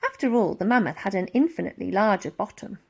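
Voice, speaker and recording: Lively woman reading a children's story. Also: medium pitch, fast, vocal fry, breathy edge. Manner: dramatic, with acted-out sound words. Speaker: audiobook reader. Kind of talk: expressive children's storybook narration.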